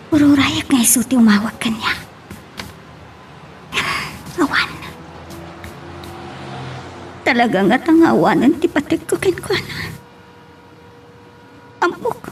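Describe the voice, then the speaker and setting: An actress playing a wounded, pleading woman in an Ilocano-accented audio love drama. Her voice is high and swings widely as she aches through each line. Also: a little hiss or hum. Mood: wounded, tearful. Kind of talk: Ilocano radio-style drama acting.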